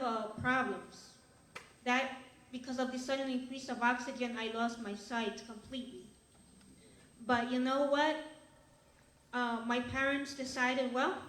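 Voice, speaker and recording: A young woman from Ecuador speaks earnestly at an awards event, pausing and restarting as she goes. Her voice sits a little high. It rings around an echoey hall. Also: fairly bright tone. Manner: earnest, halting, with fillers and restarts. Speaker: award recipient giving a speech. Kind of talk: personal acceptance speech.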